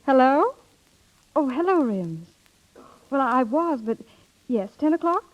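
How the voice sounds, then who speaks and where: An actress playing an innocent, reluctant younger sister, earnest and dryly witty, in a muffled 1930s radio drama. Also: female voice, sits high, sing-song, takes it slowly, heavily acted. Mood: bright, flustered.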